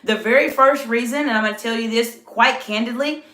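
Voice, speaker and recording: A middle-aged woman who is an executive coach, talking live to viewers and their chat while she is under the weather, with her voice lower than usual. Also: brisk. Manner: warm greetings to viewers, coaching tone. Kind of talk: live-stream talk to chat. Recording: echoey room.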